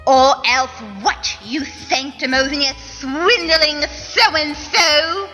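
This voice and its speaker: An actress playing a defiant, sputtering, melodramatic damsel in a comic radio play. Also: female voice, high voice, fairly even intonation, drawn-out words, sharp tone, full character voice. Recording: echoey event hall, music behind.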